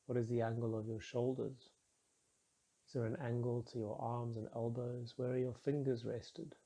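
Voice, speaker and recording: Mellow, husky man guiding a meditation. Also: mid-range voice, rough recording. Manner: calm, soothing, measured instruction with soft phrase endings. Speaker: meditation guide. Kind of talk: guided meditation.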